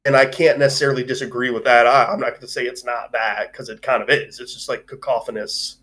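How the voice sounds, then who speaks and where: A man's voice: mid-range voice, steady tone, quick pace, a bit bright. A man hosting a livestream, discussing films in a casual, rambling, informal way. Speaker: host of a livestream discussion show.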